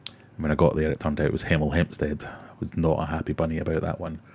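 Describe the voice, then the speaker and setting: A man's voice: extremely low pitch, little pitch movement, quick pace, gravelly, a little mellow, dull audio, slight background noise. A man in his forties with a Glaswegian Scottish accent, hosting a casual livestream, chatting with viewers and telling self-mocking stories. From Scotland. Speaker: livestream host. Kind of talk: casual livestream chat reading comments.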